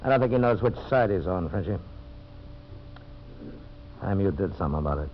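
Low-voiced, cold scheming villain. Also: male voice, animated. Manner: measured, condescending. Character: cold, scheming, unruffled logging business partner, a villain. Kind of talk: 1950s radio drama acting. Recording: muffled, noisy 1950s radio recording.

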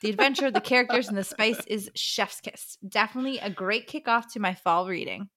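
A woman's voice: medium pitch, brisk, bright, forceful tone. A woman co-hosting a book podcast, chatting casually and reading out answers in an enthusiastic, playful way.

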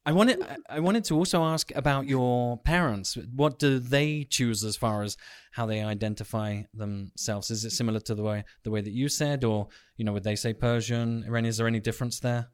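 A man's voice: mid-range voice, steady tone, a little fast, clear, resonant tone, dry recording, clean studio sound. A man hosting a casual talk, asking questions hesitantly with restarts. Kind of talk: conversational interviewing.

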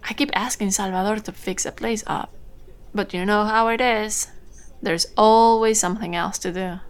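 A woman playing a warm, chatty older housewife in a naturalistic audio drama. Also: medium pitch, fairly even intonation, normal speed, clear, resonant tone, close to an everyday voice. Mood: chatty, mildly grumbling.

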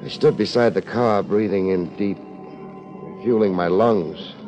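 A hard-boiled private detective, played by a male radio actor, wry and laid-back, in a fairly low voice. He talks in slangy wisecracks, as in an old 1950s radio drama. The recording is dull, with music behind him. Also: measured pace, a little mellow, natural voice. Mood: wry narrating.

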